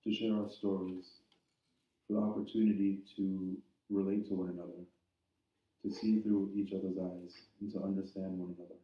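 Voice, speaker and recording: Man, church moderator, friendly brisk, muffled lo-fi sound, heavy echo. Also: sits low, level tone. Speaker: service moderator and interviewer. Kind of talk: leading prayer and interview in church. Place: church sanctuary. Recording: echoey room from far off, dull.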